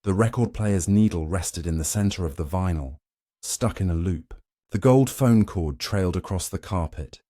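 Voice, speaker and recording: A man's voice: low voice, moderate pace, warm tone, no room sound, studio quality. A man narrating a scripted dramatic story in a solemn, suspenseful way, in short weighted phrases.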